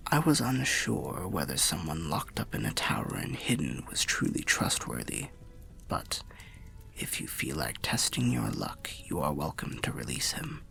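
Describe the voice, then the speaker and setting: A man voicing a weary old undead wanderer telling fantasy tales in ASMR roleplay, half whispered and gravelly, with a measured, wry, old-fashioned way of speaking. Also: pushed and bright, exaggerated voice. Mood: cautionary, hushed. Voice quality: breathy whispering, gravelly low voice. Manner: measured, archaic, wry storyteller.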